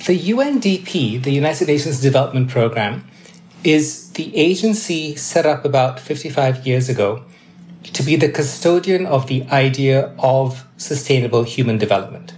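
Measured fifty-year-old man, echoey room. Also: medium pitch, expressive, darkish tone, slight background noise. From Malaysia. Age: 50s. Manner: measured, thoughtful, occasional restarts. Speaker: UN development adviser, podcast guest. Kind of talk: explanatory interview answers.

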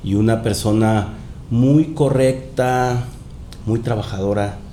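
A man's voice: fairly even intonation, slow, mellow. A man chatting casually as a podcast guest. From Mexico and Panama. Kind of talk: casual chat. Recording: roomy echo, noticeable rumbling noise.

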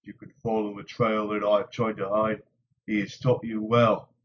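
A man reading an audiobook voices a terse male warrior who speaks in a quiet, clipped, patient way. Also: lowish voice, little pitch movement, moderate pace, highs cut off, slight background noise, pitched below the performer's own voice, close to an everyday voice. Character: stern, terse male warrior, guarded and low-spoken.

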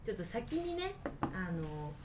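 A woman co-hosting a podcast in casual chat, excitable and acting out her own reactions. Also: medium pitch, measured pace, far from the mic, noisy background. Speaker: podcast co-host.

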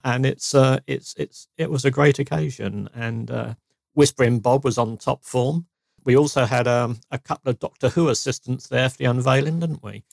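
A man's voice: medium pitch, moderate pace, gravelly, no echo. A man from England co-hosting a podcast, chatting casually and joking, with fillers along the way. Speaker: podcast co-host. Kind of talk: casual chat.

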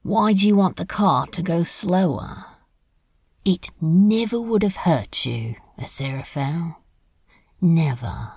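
A woman's voice: fairly low pitch, animated, slow, darkish tone, slightly rough, no room sound, exaggerated voice. A woman playing a brooding, sardonic male demon in a fan audio drama, taunting and wounded. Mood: wounded, defiant. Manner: sardonic, challenging.

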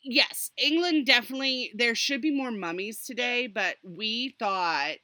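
A woman hosts a casual history chat in a bright, forward voice. She rambles animatedly through her stories and drops sardonic asides. The sound is dry, with very little room in it. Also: on the high side, measured pace, dry recording. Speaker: podcast host.

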